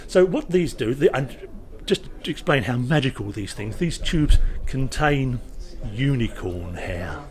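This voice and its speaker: A man of medium pitch presents a product as a company representative at a trade show. His delivery is informal and explanatory, with emphatic sales patter and dry, joking asides. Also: animated, unhurried.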